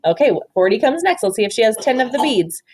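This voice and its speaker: A woman's voice: a little high, sing-song, quick pace, a little mellow, slight background noise. A woman kindergarten teacher reading a picture book aloud, prompting young children with counting questions and praise. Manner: prompting, instructive, praising pupils.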